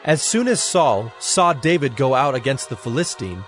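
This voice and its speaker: Casting: male narrator, mid-pitched, measured and solemn, scripted Bible storytelling with faint music. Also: big pitch swings. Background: background music.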